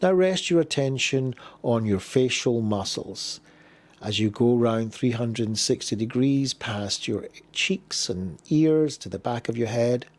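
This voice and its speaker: Male meditation guide, medium pitch, gentle and unhurried, leaving space between short instructions. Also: lively intonation. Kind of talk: guided body scan meditation.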